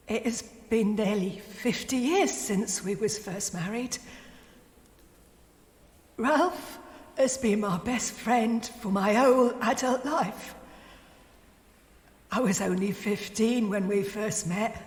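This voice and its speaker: A woman's voice: medium pitch, close to an everyday voice. A woman playing a grieving widow in her sixties, delivering a eulogy in an audio drama. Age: 60s. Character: grieving elderly working-class widow giving a eulogy. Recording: echoey hall with long reverb.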